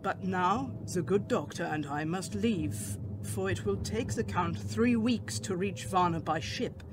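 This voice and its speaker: An old professor in a radio drama. He is grave, formal and commanding, speaking deliberately over clear background noise. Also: sits high. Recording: clear ambient noise behind voice.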